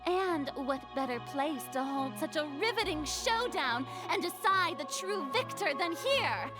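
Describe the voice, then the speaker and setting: A woman voicing a haughty, showboating young lady ruler in an anime game, pompous and dramatically grandiose. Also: extremely high pitch. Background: background music.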